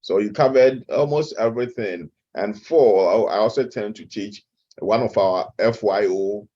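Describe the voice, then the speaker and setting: A middle-aged man with a Ghanaian accent, a guest expert answering interview questions slowly, with frequent hesitant fillers. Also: fairly low pitch, dark tone, dull audio. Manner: measured and slow, with many hesitant "uh" fillers. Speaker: guest expert, nutrition professor.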